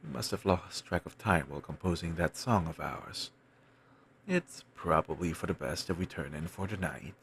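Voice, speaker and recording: A man playing a wistful, self-doubting wandering bard in an intimate audio roleplay, musing in courteous, formal phrases with thoughtful pauses and a gravelly, husky voice. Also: low-pitched, fairly bright tone, a little hiss or hum. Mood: courteous, pensive. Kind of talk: intimate one-sided audio roleplay acting.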